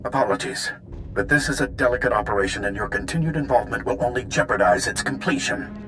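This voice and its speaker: A man's voice: mid-range voice, fast, background noise, lightly acted. An actor playing a smug secret-service agent in a video game, sarcastic and condescending in his delivery.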